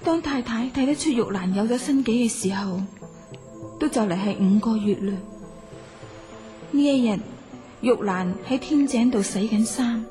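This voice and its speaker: A woman's voice: a little high, unhurried, long pauses, warm tone, natural voice. An actress playing a self-possessed young woman novelist in a radio drama, with a Hong Kong Cantonese accent. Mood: absorbed, storytelling. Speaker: lead actor and narrator. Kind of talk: radio drama acting and narration. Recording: music behind.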